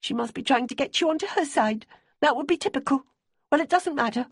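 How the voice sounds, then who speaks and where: A woman's voice: high voice, lively intonation, brisk, dry recording, clean studio sound, pitched above the performer's own voice. A woman reading an audiobook, voicing an anxious young widow who talks in an urgent, pleading way, her voice raised well above her own. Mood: bitter.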